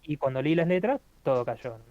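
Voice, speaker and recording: Male co-host, halting casual delivery, muffled close dry recording. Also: on the high side, animated, darkish tone, dry recording. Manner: hesitant, many fillers and restarts. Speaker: podcast co-host reviewing an album. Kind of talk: casual spoken album review.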